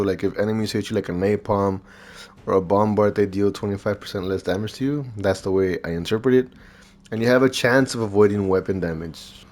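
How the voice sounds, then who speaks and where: A man with a fairly low voice that sounds stuffed up and nasal. He talks like a gaming video host walking viewers through a showcase. His delivery is informal and rambling, often thinking out loud. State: congested from recovering from illness. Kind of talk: casual gameplay showcase commentary.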